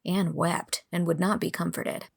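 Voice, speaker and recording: A woman's voice: lowish voice, level tone, unhurried, gravelly, slightly rough. A woman reading scripted narration for a classic storybook.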